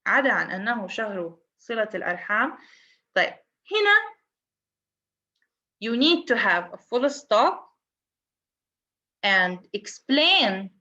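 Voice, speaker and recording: A woman's voice: fairly high pitch, sharp tone, dull audio. A woman with an Arabic accent, a native Arabic speaker, lecturing on translation and explaining step by step, often restarting her words. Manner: explanatory, repeats and restarts words, switches between English and Arabic. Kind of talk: university lecture.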